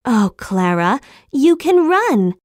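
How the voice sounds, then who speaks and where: A woman playing a gentle, caring mother in scripted dialogue for an English-lesson cartoon. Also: high-pitched, big pitch swings, takes it slowly, dry recording, clean studio sound, full character voice. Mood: pleased.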